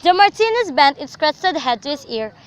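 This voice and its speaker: A woman's voice: high voice, big pitch swings, moderate pace. A young woman narrating a scripted story for a radio drama.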